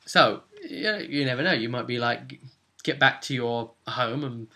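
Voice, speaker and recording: A man speaking as game master and host, casually recapping a tabletop roleplay session in an animated, explanatory manner. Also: medium pitch, unhurried, gravelly.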